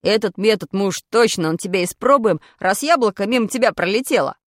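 A woman's voice: medium pitch, brisk, dry recording, clean studio sound, voice pushed down, natural voice. A woman voicing a brash schoolboy schemer in a children's story, insistent and self-justifying. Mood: eager, commanding. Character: brash, clumsy, excuse-making schoolboy schemer.

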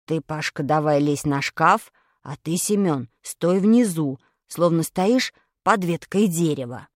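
A woman voicing a serious, bossy schoolboy in a children's story, speaking deliberately and emphatically. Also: deep voice, animated, no room sound, studio quality, pitched below the performer's own voice, exaggerated voice. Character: slow, serious, assertive schoolboy, bossy friend.

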